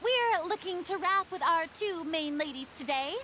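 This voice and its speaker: A woman's voice: extremely high pitch, lively intonation, moderate pace, sharp tone, slight background noise, exaggerated voice. An actress playing a confident, upbeat young girl in a video game, encouraging and emphatic. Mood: upbeat, commanding. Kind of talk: animated video game acting.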